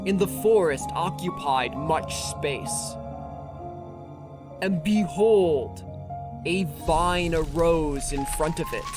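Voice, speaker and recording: A narrator reading a scripture audiobook in a solemn, measured, formal manner. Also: mid-range voice. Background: music.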